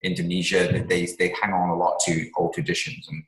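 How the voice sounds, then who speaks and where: Deep-voiced man in an echoey room. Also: level tone, brisk, fairly bright tone. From England. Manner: rambling, many ums and restarts. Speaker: author and scientist guest. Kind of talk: interview answers.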